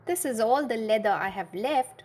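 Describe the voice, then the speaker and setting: A woman voicing a poor, earnest shoemaker in a children's storybook, speaking simply and deliberately. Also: fairly high pitch, expressive, a little mellow, pitched above the performer's own voice. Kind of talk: children's storybook read-aloud.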